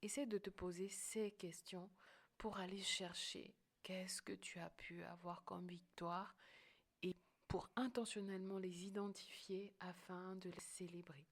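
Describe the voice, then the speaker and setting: A woman's voice: fairly low pitch, steady tone, unhurried. A woman hosting a motivational coaching podcast, speaking emphatically and often checking in with the listener. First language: French-English bilingual.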